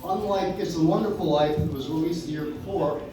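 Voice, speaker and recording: A man's voice: fairly high pitch, fairly quick, distant mic. A man casually and informally introducing a live show on stage, recorded from the audience in an echoey room. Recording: echoey room from afar, clear noise.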